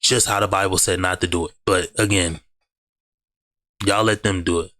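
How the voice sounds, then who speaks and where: Reaction host, male, lowish voice with a bright edge, dry close mic, blunt slangy delivery. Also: fairly even intonation, moderate pace, dry recording. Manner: blunt, slangy, repeats "bruh". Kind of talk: casual commentary over clips.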